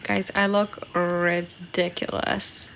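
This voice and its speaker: Slow-talking woman chatting on a livestream. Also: lowish voice, level tone, vocal fry, a bit bright, a little hiss or hum. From Poland. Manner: chatty, giggly, thanks viewers often. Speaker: livestream host. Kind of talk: casual livestream chat reading comments.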